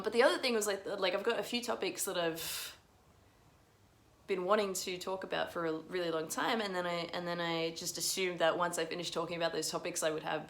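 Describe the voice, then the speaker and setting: Rambling woman vlogger, lower voice. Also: fairly bright tone. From Russia. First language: Russian. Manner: rambling, self-deprecating, laughs often. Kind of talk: unscripted vlog ramble.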